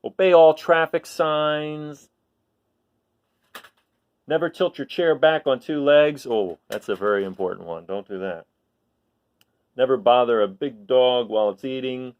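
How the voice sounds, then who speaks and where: A man reading a children's picture book aloud expressively, pausing for asides and questions to his listeners. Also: medium pitch, darkish tone, clean tone, dull audio. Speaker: read-aloud storyteller.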